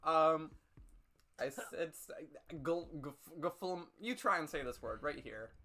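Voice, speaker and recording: A man's voice: fairly high pitch, lively intonation, a little slow, bright, forceful tone. A man doing a comedic dramatic reading with casual commentary, mock-serious and tripping over words. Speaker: streamer hosting a dramatic reading.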